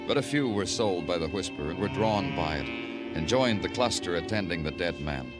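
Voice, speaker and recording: A man acting a hard-boiled American city detective in an old-time radio noir. His voice is on the low side and he tells the case in clipped, wry, florid phrases. Loud music plays under the old broadcast. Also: close to an everyday voice.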